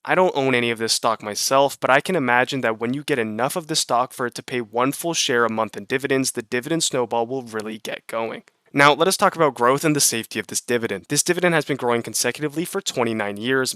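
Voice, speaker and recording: A man's voice: mid-range voice, a bit bright, no echo. Slightly nasal man narrating, clean dry sound. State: slightly congested from a cold. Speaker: YouTube host on stock investing. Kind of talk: scripted explainer narration.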